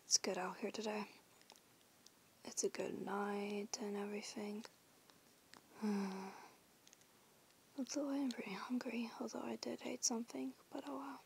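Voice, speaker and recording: A woman's voice: a little high, level tone, measured pace, long pauses, slight background noise. A woman voicing a teasing young female werewolf in an audio roleplay, whispering huskily and swearing casually. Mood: bored musing. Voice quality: whispery, husky.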